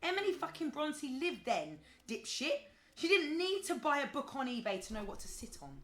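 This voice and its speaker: An actress playing an exasperated, sarcastic, sweary office colleague in an audio drama, wordy and withering. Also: female voice, sits high, very animated, normal speed, fairly bright tone, reverberant. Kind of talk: naturalistic audio drama acting.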